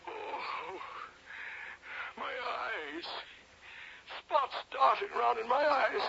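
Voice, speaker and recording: A very high, strained voice plays a tormented railway boss who clings to authority while falling apart. His slow, creaky delivery is defensive and overwrought, theatrical in the manner of 1970s radio drama, through a muffled, noisy broadcast. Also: bright, forceful tone, exaggerated voice. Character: tormented, sleepless colonial railway boss, authoritative yet cracking up.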